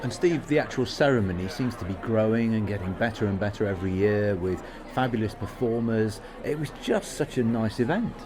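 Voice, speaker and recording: A man's voice: fairly low pitch, lively intonation, brisk, hardly any pauses, a crowd in the background. A man hosting a podcast and interviewing guests on location at an awards event. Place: inside a theatre at an awards event.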